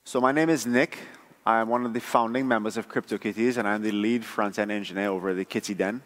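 A man from Trinidad gives a prepared conference talk in a measured, conversational, explanatory way. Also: lowish voice, fairly even intonation, a bit bright. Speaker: conference speaker, front-end engineer. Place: large conference room. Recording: echoey hall, long reverb.

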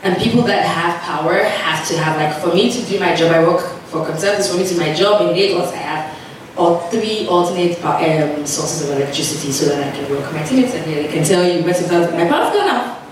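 Nigerian woman, conference speaker, lowish voice, deliberate pace; distant, reverberant hall mic. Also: noisy background. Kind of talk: conference presentation.

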